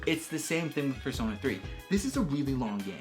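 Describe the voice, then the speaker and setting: A man's voice: mid-range voice, big pitch swings, fast, darkish tone. A man giving casual, enthusiastic video game commentary as a reviewer, emphatic and repeating phrases for stress. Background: background music.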